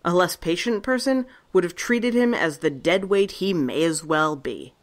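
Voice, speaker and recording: A woman's voice: fairly low pitch, expressive, normal speed. A woman playing a blunt, sarcastic survivor. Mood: confrontational. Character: tough sardonic woman survivor, Tessa. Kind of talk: fan audio drama acting.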